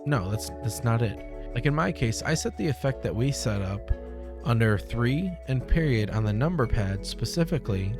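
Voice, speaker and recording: A young man hosting a casual software tutorial, speaking informally into a close desk microphone. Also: medium pitch. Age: young adult. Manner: informal, conversational. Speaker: tutorial host. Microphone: close desk microphone on boom arm. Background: music.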